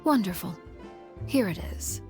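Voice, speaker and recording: A woman playing a cold, shrewd, commanding matriarch in a video game, speaking in a measured, formal, condescending way. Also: medium pitch, lively intonation, a little mellow, soft background music. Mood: cold, composed.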